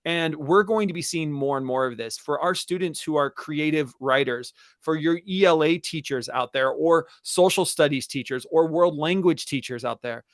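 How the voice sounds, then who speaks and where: A man's voice: fairly high pitch, moderate pace, hardly any pauses, no room sound, studio quality. A man speaking as an enthusiastic, emphatic co-host in a conversational webinar for educators, repeating key phrases for stress. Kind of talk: conversational webinar talk for teachers.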